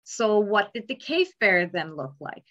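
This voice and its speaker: A young woman explains her slides in a calm, measured lecture, with frequent ums. She speaks over a webcam from an indoor room, at a middling pitch. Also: lo-fi sound. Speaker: palaeontology lecturer. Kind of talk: slide lecture on cave bears. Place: indoor room, remote talk. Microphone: webcam in a video call.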